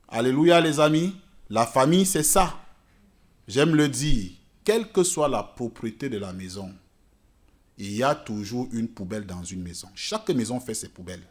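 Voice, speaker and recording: A man preaching a church sermon in French, which is not his native language, swinging between loud emphatic peaks and quiet asides. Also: mid-range voice. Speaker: pastor. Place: church service.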